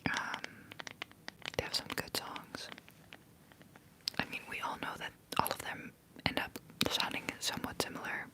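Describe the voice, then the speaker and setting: A woman's voice: unhurried, pauses often, poor audio. A Dutch woman with an American-sounding accent in English, whispering breathily in a casual, chatty, playful way as she hosts an ASMR livestream and answers chat. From Netherlands. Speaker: livestream host, ASMR creator.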